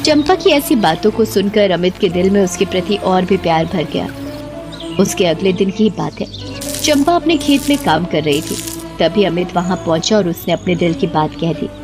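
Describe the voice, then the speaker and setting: A woman's voice: on the low side, animated, normal speed, music in the background. A woman narrating a scripted Hindi story in a dramatic storytelling style.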